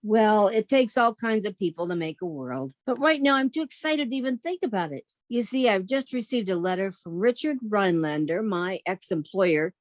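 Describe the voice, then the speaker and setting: A woman's voice: medium pitch, expressive, moderate pace, hardly any pauses, no room sound, close to an everyday voice. A woman playing a sensible young single woman, wisecracking and fondly exasperated, in 1940s radio comedy style. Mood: excited, wry.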